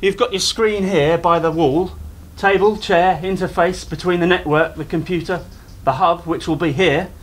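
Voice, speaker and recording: An actor playing an eager, naive younger techie in a radio play, rambling and over-explaining earnestly. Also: male voice, high voice, fairly even intonation, moderate pace. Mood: defensive. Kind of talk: community radio play dialogue.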